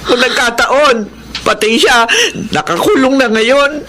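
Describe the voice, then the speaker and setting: A woman's voice: high-pitched, unhurried, bright, forceful tone, a little hiss or hum. A woman playing a meddling, emotional neighbour, a wife and mother, in a melodramatic radio drama style. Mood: distraught, remorseful.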